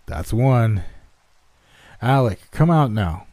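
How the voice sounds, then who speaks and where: A man voicing a menacing, drunken father who shouts commands at his son in a horror story. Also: lowish voice, big pitch swings, takes it slowly, no room sound, heavily acted. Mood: cold menace.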